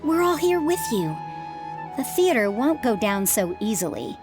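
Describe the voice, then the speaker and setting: A woman playing a calm, reassuring middle-aged mother and former adventurer in a video game. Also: on the high side, moderate pace. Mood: encouraging. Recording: music playing behind the voice.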